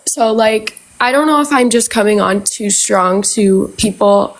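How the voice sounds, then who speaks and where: A woman's voice: mid-range voice, a little slow, poor audio. Young woman caller in her twenties, stuffy and congested, rambling with many fillers. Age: 20s. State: congested from flu. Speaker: caller on a live stream. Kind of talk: casual advice-seeking chat.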